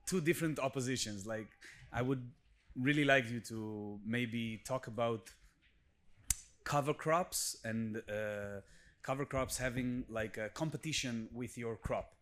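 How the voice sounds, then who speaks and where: A man with an Israeli accent and a mid-range pitch puts a question from the audience. English is not his native language, and he speaks haltingly, repeating words as he searches for phrasing. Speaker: consultant asking an audience question. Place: live conference audience.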